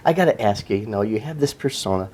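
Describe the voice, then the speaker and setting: A man's voice: on the low side, lively intonation, fast, a little mellow, breathy edge. A man hosting a backstage interview, asking enthusiastic, rambling questions. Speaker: interview host. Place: backstage room at a theater.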